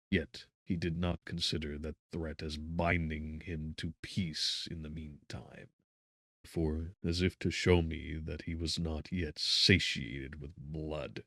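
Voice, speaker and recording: A man narrating a gothic novel as an audiobook, solemn and theatrical, with measured phrasing and emphatic swells. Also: sits low, no room sound, clean studio sound.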